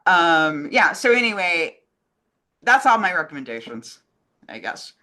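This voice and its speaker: A woman's voice: fairly low pitch, sing-song, measured pace, pushed and bright. A woman in casual conversation, talking in an enthusiastic, rambling way as a co-host. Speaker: co-host answering fan questions. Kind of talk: casual chat.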